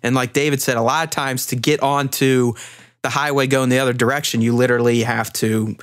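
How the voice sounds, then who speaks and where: A man's voice: mid-range voice, fairly even intonation, a little fast. A man in his thirties hosting a casual panel discussion, speaking informally and freely swearing. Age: 30s. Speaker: podcast host.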